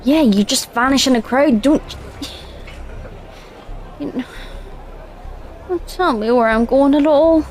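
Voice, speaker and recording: A wry, slow-talking commander. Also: female voice, high-pitched, darkish tone. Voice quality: wry, guarded. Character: wary female commander alone in a hostile city.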